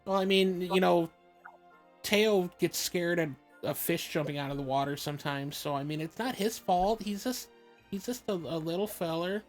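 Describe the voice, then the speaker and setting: A woman's voice: fairly low pitch, moderate pace, soft background music, close to an everyday voice. A woman voicing an awkward, easygoing young adventurer in an improvised comedic fan dub, hesitant and conversational.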